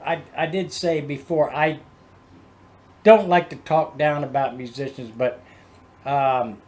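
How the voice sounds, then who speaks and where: A man giving casual music commentary as a host, explaining things in a halting, rambling way. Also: mid-range voice, darkish tone. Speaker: host of a music reaction video.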